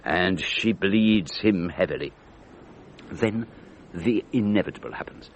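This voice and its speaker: Low-voiced elderly detective. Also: male voice, expressive, a little hiss or hum. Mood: measured, revealing. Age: older. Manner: precise, deliberate, didactic questioning. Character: elderly, methodical, patient Belgian male detective. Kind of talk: radio drama dialogue.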